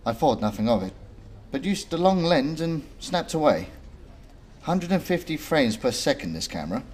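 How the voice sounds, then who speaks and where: A man's voice: a little high, very animated, moderate pace, darkish tone, breathy edge. A man playing an eager young conspiracy-minded student in a radio drama, telling his story informally and earnestly. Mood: eager, conspiratorial.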